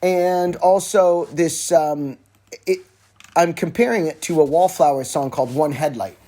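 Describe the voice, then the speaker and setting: A man's voice: a little high, a little slow, warm tone. A man hosting a podcast alone, rambling casually about music with wry, informal asides and frequently interrupting himself. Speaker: podcast host. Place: informal solo recording with a phone at hand.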